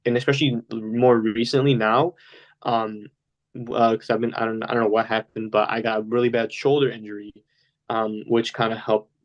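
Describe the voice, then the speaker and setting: Casting note: young male guest, Polish-speaking home, loose rambling chat, lots of fillers. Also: on the low side. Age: young adult. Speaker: podcast guest. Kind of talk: casual interview talk.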